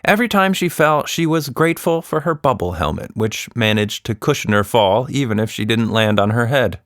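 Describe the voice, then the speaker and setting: A man narrating a scripted children's story in an expressive, dramatic storytelling manner. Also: mid-range voice, moderate pace, dry recording, clean studio sound.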